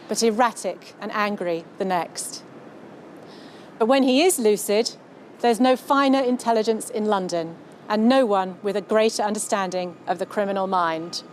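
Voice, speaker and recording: A woman with a mid-pitched voice presents her work in a measured, articulate way, as a scripted piece to camera. She is recorded out on the street, with traffic ambience audible behind her. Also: a little mellow. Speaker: novelist presenting own book. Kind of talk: scripted promotional narration to camera. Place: outdoors on London streets.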